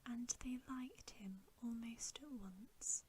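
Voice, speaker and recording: A woman reading a bedtime story in ASMR style, in a hushed, gentle, unhurried whisper. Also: fairly high pitch, slight background noise. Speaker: ASMR reader.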